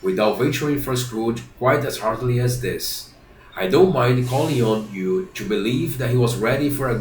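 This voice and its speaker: A man's voice: medium pitch, echoey room, a little hiss or hum, rough recording. A man with a congested, stuffy nose from a cold, reading a classic novel aloud and often stopping to reread and correct himself. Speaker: reader of a book series.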